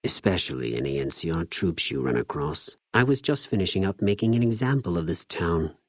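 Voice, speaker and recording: An actor playing a cold, zealous, contemptuous legion spy in a video game, speaking formally with measured condescension. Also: male voice, sits low, expressive, no room sound, clean studio sound. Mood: contemptuous menace. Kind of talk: scripted video game character dialogue.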